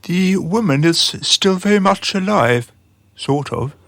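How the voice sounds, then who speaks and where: A man's voice: sits high, animated, measured pace, vocal fry, fairly bright tone, no echo, heavily acted. An actor playing a naive male underling in a radio drama, polite, earnest and questioning towards superiors. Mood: puzzled. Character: deferential, naive male underling addressed as boy.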